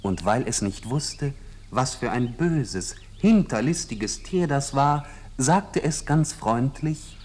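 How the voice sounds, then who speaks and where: A man narrating a scripted fairy tale as storyteller, in a measured and expressive manner. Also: medium pitch.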